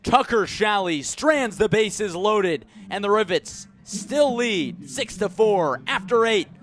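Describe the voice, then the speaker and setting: A man doing live radio baseball play-by-play as the announcer, with excited calls on the big plays. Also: sits high, slow. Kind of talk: live sports commentary.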